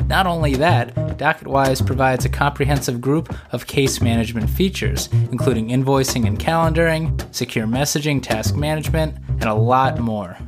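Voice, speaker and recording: Male podcast host, slightly nasal from a cold, medium pitch, brisk scripted delivery over a music bed. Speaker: podcast host and immigration lawyer. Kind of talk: scripted legal podcast narration with ad reads.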